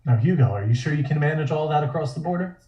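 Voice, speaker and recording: Male actor as slick studio boss, shrewd, quietly in charge, period drama read, boxy echo, dulled sound. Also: a little high, lively intonation, quick pace, natural voice. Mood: suave, probing. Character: suave, shrewd 1920s film studio boss. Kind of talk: comic table-read acting. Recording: roomy, dull home microphone.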